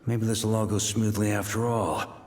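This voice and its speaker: An actor voicing a calm young male traveler with dry, wry remarks. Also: lowish voice, normal speed.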